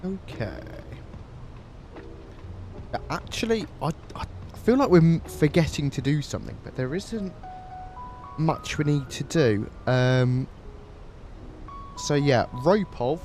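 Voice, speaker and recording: A man's voice: on the high side, expressive, takes it slowly, long pauses, a little mellow, faint music behind. A man streaming a flight sim, chatting casually with viewers while flying, his nose stuffy from a cold. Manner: chatty, friendly, thanks viewers by name. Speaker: flight simulator livestreamer.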